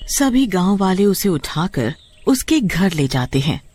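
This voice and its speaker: A man's voice: high voice, big pitch swings, unhurried, darkish tone. A man reading scripted narration for a children's horror story.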